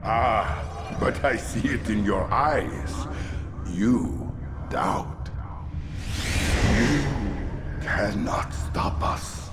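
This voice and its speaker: An actor playing a bitter, defiant outcast merchant lord in a video game, speaking in a grandiose, theatrical way. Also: male voice, on the low side, drawn-out words, long pauses. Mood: defiant, dying. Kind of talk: dramatic video game acting. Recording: game dialogue over background music.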